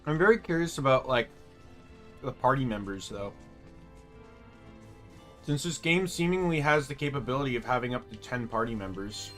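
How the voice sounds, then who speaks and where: A young man streaming a game, chatting casually in rambling asides with a loud voice. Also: mid-range voice, lively intonation, moderate pace, long pauses. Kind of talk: casual gameplay chat. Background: game music.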